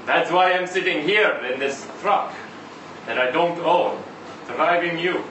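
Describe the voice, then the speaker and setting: Man acting a high-pitched, weary truck driver, echoey room. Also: sharp tone, dull audio. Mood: weary, resigned. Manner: halting, rambling, foul-mouthed, repeatedly seeking agreement. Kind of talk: live dramatic monologue reading of a short story. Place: live literary event in an indoor venue. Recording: echoey room, distant mic, faint noise.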